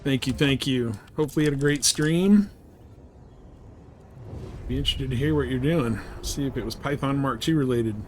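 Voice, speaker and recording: A man's voice: medium pitch, moderate pace, long pauses, a little mellow, soft background music. A man chatting casually and a little sweary while live streaming a space combat game. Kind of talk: casual live gameplay chat.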